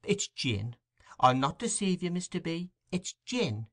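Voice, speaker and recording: A man putting on a shrill fawning old-fashioned nurse woman. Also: sing-song, measured pace, a bit bright, exaggerated voice. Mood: coaxing. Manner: gushing, wheedling, shrill. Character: fawning scheming middle-aged woman nurse.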